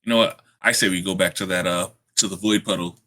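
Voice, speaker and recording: A man's voice: deep voice, steady tone, fast, pushed and bright. A man playing a tabletop game, chatting casually in a wry, theatrical way. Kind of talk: casual in-character game chat.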